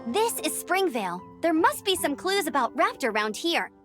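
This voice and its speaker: A perky, high-voiced young knight scout. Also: female voice, big pitch swings, normal speed, music in the background. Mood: confident, eager. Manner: perky, determined, self-assured. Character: eager, confident young female knight scout. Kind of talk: scripted video game voice acting.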